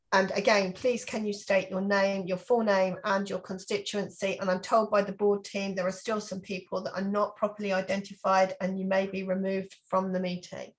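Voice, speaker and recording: A woman's voice: mid-range voice, low-quality recording. A woman chairing an online meeting, calling on speakers in a polite, procedural way. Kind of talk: formal moderating of an online meeting.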